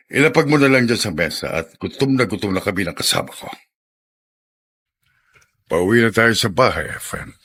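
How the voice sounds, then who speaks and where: A self-important filipino congressman in a radio drama, raspy but forceful, swings up and down a lot. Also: lowish voice, animated, moderate pace, pauses often. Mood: proud. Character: prosperous, self-important male congressman and father.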